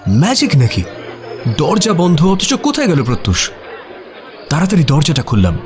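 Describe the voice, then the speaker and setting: Audio drama narrator: puzzled, admiring sidekick, higher voice, highly expressive suspense telling, soft music bed. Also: male voice. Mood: alarmed. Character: detective's puzzled, admiring male friend narrating the mystery. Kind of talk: dramatized first-person audio story narration. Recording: music behind the voice.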